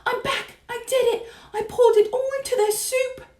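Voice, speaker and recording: A woman voicing a tiny, plucky young mouse with a hushed, eager, childlike delivery in a storybook reading. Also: very high voice, steady tone, normal speed, echoey room, pitched above the performer's own voice.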